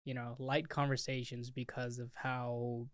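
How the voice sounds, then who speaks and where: A podcast host in their twenties interviewing an old friend in a relaxed, friendly way, with frequent hesitations and filler words. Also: medium pitch, measured pace, clear, resonant tone, dry recording, studio quality. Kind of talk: casual interview chat.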